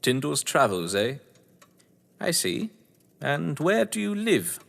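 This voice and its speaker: An actor playing a suave, sardonic upper-class English novelist, wordy and condescending, in a theatrical audio drama. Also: male voice, a little high, huge pitch swings, slow pace, gravelly, fairly bright tone, exaggerated voice. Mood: mocking politeness. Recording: echoey hall-like reverb.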